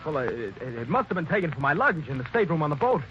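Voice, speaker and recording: Stammering murder suspect, 1940s radio, hissy dull recording. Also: male voice, fairly high pitch, big pitch swings, rapid-fire. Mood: nervous. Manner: stammering and jittery. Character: nervous, defensive male former employee. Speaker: actor.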